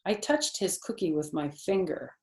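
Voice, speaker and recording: A woman's voice: lowish voice, sing-song, normal speed. A middle-aged or older woman, a grandmother, reading a children's storybook expressively with casual asides. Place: indoor living room on a sofa. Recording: roomy indoor echo.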